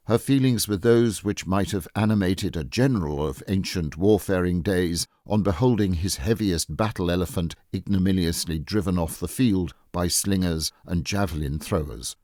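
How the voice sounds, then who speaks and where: A man narrating a literary short story as a scripted audiobook, in a measured, formal storytelling manner. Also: low voice, darkish tone, no room sound, studio quality.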